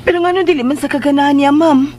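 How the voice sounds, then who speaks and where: A woman's voice: sits high, fast, darkish tone, a little hiss or hum, close to an everyday voice. A woman playing a meek, anxious young woman, hesitant and submissive, in a radio drama. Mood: surprised, timid.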